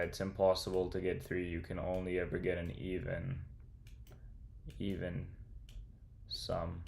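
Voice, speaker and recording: A man's voice: deep voice, creaky, darkish tone. A young man casually livestreaming as he works through a coding problem, thinking aloud and chatting with viewers in a laid-back, slangy way. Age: young adult. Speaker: streamer and computer science student.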